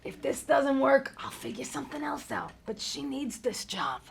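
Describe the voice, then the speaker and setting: A woman's voice: high voice, expressive, normal speed, creaky, a little hiss or hum, exaggerated voice. A woman playing an awkward, kindhearted divorced bar owner in his fifties, hesitant and stammering, in a live comedy table read. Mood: earnest, self-pitying. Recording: live stage reading before an audience.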